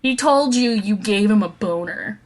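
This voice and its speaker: A woman in her forties co-hosting a podcast in casual chat, blunt and quick to swear and laugh. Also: medium pitch, animated. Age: 40s. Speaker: podcast co-host.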